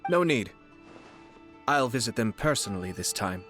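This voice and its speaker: A man's voice: lowish voice, big pitch swings, moderate pace, fairly bright tone. An actor playing a courteous young nobleman and clan head in a video game, formal, eloquent and composed. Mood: polite, measured. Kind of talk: video game character acting. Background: music.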